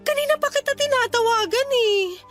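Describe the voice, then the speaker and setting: High-pitched, frantic young Filipina daughter. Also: female voice, a little fast, fairly bright tone, soft background music. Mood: panicked, tearful. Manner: pleading, emotional. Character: frantic, tearful daughter fearing for her sick mother. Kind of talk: Filipino radio drama acting.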